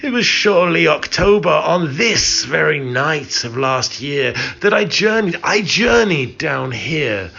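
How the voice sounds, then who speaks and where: A man's voice: on the high side, creaky, a bit bright, pitched above the performer's own voice, exaggerated voice. Poem role: grieving, haunted male lover, acted by a man, dramatic and impassioned, slightly dull sound. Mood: anguished. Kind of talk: dramatic poetry recitation. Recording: muffled, with background hiss.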